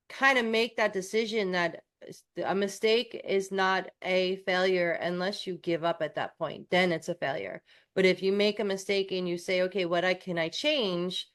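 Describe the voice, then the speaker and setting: A woman's voice: medium pitch, a bit bright. A woman from New York co-hosting a coaching podcast, talking in a candid, self-deprecating way with frequent restarts. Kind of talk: casual podcast chat.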